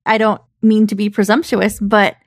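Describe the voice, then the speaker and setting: A woman's voice: mid-range voice, flat delivery, moderate pace, no echo, studio quality. A woman interviewing in a casual, chatty way, informal and affirming.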